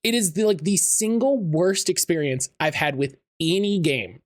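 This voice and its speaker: A man's voice: high voice, expressive, normal speed. Rambling man hosting a podcast. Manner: rambling, many fillers and restarts. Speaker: podcast host. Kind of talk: podcast interview.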